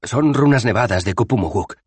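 A man reading an audiobook aloud, voicing a nervous, fussy male wizard in a raised, hurried, fretful voice. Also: mid-range voice, lively intonation, darkish tone, breathy edge, no echo, pitched above the performer's own voice.

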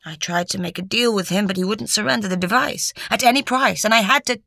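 A woman voicing a gentle old former agent. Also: medium pitch, creaky, no echo, clean studio sound, pitched above the performer's own voice. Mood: grave. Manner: gentle, measured.

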